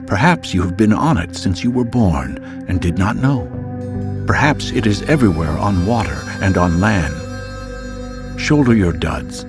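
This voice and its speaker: Male voice artist, very low register, for dramatic verse recitation; deliberate phrasing, pauses, music underneath. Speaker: voice artist reading poetry aloud. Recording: music and nature soundscape behind voice. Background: music, chirping insect sounds.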